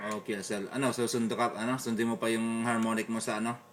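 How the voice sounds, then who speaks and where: A man with a Filipino accent talking casually as an amateur radio operator, in a hesitant, halting way. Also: mid-range voice, flat delivery, noisy background. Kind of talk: casual two-way radio chat. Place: beside a radio set, recording it on a phone.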